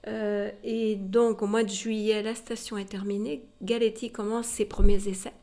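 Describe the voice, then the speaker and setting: A woman's voice: medium pitch, flat delivery, moderate pace, dark tone. Woman guide telling history. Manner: explanatory, addresses listeners directly with rhetorical tags. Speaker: museum guide. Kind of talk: informal spoken historical storytelling to a visitor.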